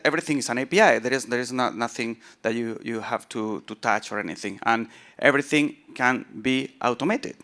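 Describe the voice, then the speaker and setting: A man from southern Spain with a southern Spanish accent gives an informal technical talk as a conference speaker, in a halting way with repeated words. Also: medium pitch, bright, forceful tone, clean tone. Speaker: conference speaker, software founder.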